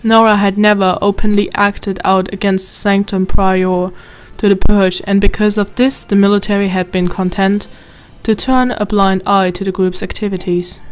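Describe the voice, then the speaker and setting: A woman with a German accent, chatting and reading game text aloud as she plays. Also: medium pitch. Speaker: gameplay commentator. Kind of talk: let's play commentary. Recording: game music behind.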